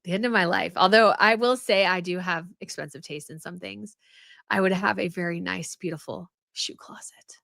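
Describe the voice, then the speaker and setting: A woman's voice: fairly low pitch, normal speed, vocal fry, fairly bright tone, no room sound, studio quality. A young woman hosting a casual live stream, chatting and answering viewer comments with many fillers and restarts. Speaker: host of a royal news live stream.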